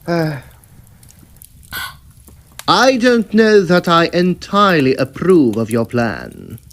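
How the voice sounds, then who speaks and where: Male actor as greedy Victorian schemer, middle-aged, slow delivery, animated swooping intonation, emphatic period diction, radio melodrama. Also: a little high, full character voice. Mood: sly, measured. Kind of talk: old-time radio melodrama acting.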